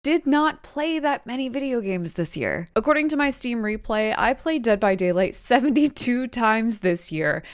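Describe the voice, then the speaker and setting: A woman's voice: fairly high pitch, lively intonation, normal speed, few pauses, smooth. A woman giving a casual, chatty recap to camera, enthusiastic and full of emphatic asides. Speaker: video creator and wrestling reaction host. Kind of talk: casual scripted recap vlog.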